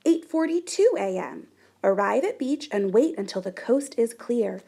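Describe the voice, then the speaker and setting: Animated, high-pitched woman reading a storybook. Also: creaky, breathy edge. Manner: animated, deliberate, dramatic emphasis. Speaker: storybook reader. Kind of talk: expressive children's picture book read-aloud.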